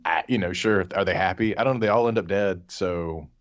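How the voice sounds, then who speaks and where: A man's voice: deep voice, a little fast, highs cut off. A man chatting casually as a podcast panelist, rambling and wry, with some swearing. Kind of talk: casual chat.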